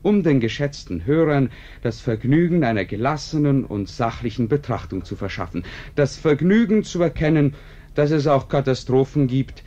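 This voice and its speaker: An actor playing an urbane author who narrates a radio play with courteous, dry irony in measured phrases. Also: male voice, mid-range voice, expressive, warm tone, natural voice. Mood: wry, composed. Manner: courteous, dryly ironic, measured. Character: urbane, ironic male author narrating his own tale. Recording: dull, band-limited radio recording.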